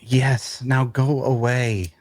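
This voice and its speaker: A man's voice: medium pitch, fairly even intonation, slow, darkish tone, no echo, lightly acted. A man playing a grumpy, evasive loner in a fantasy audio drama, speaking in a dry, curt, hesitant way. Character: guarded, grumpy, evasive loner male traveler. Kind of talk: dark fantasy audio drama table read.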